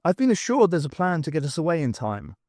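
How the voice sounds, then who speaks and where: Man voicing a brisk, wry woman academic, animated. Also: fairly high pitch, no echo, clean studio sound, voice pushed up. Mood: brisk, instructive. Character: worldly woman archaeology lecturer, brisk, wry, flustered underneath. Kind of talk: audiobook character acting.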